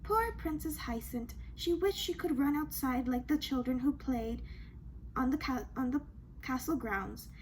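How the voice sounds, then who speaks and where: A woman reads a children's storybook in a high-pitched, very animated voice. She is expressive and dramatic, leaning on questions and little asides. There is some noise behind her. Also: a little slow, low-quality recording. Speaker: storybook reader. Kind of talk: expressive children's read-aloud.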